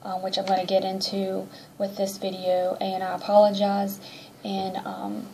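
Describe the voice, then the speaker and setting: A woman's voice: medium pitch, monotone, measured pace, creaky, darkish tone, slight background noise, poor audio. A woman in her thirties giving a chatty, rambling personal update to camera, sounding congested and a little nasal from allergies. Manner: chatty, rambling with many ums. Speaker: vlogger.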